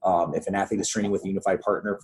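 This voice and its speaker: A man with a husky voice leading a coaches' conference call, explaining guidelines in an informal, conversational way. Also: deep voice, flat delivery, racing, a little hiss or hum. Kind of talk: informal instructional presentation with questions.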